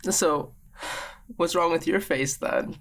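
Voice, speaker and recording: A man's voice: a little high, takes it slowly, pitched above the performer's own voice. Man playing an insecure, wounded young son, noisy background. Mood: shaken, deflecting. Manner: hesitant, self-doubting.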